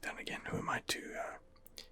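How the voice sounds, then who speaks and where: A man hosting an ASMR game playthrough, muttering hesitant commentary in a breathy whisper. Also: normal speed, sharp tone. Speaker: gaming video host.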